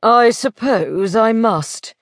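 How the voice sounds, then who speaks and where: A woman voicing a wry, urbane gentleman lord in an audiobook, droll and deliberate in manner. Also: on the high side, lively intonation, no room sound, clean studio sound. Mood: amused musing.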